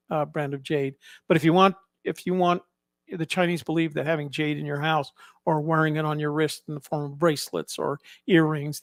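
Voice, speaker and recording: Male vlog host, casual halting explainer, crackly fry in the voice, very dry close sound. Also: on the high side, no room sound. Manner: halting, explanatory, repeats and rephrases. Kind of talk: casual narrated photo commentary.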